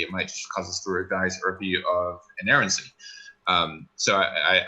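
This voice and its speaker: A man debating in a measured, academic manner, choosing his words haltingly. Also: deep voice, pushed and bright, rough recording. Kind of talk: scholarly debate answer.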